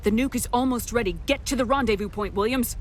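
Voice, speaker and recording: A woman's voice: a little high, fast, a little hiss or hum, close to an everyday voice. A woman playing a resolute military commander in a video game, with a firm, terse, challenging delivery. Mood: decisive. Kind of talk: video game hero voice acting.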